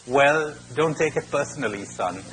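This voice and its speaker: A man's voice: mid-range voice, lively intonation, normal speed, natural voice. A man voicing a sly mother crocodile in a spoken fable, wheedling and matter-of-fact, on a noisy recording. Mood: coolly cynical. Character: pleading, sly mother crocodile trapped in a net.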